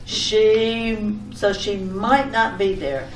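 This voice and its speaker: An actress playing a breezily insistent, mildly exasperated wife who coaxes and over-explains in old-time radio domestic comedy style. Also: female voice, medium pitch, extremely slow. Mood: insistent, over-explaining. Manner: chattering, coaxing, over-explaining. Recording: echoey, distant, slightly noisy old radio recording.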